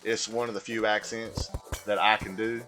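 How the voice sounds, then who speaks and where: A man voicing a slow, simple, earnest Southern American man with a folksy drawl while chatting on a gaming livestream. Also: fairly low pitch, little pitch movement, bright, forceful tone, rough recording. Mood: resigned, chatty. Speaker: video game streamer. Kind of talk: livestream gaming chat held in a put-on voice. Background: music.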